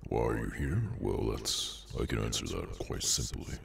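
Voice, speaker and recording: A man voicing a weary, menacing demonic henchman in an ASMR audio roleplay, sardonic and low-key, with a gravelly voice. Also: full character voice. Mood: weary, annoyed. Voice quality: very deep, gravelly, creaky. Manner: slow, low-key, casually sinister, sardonic.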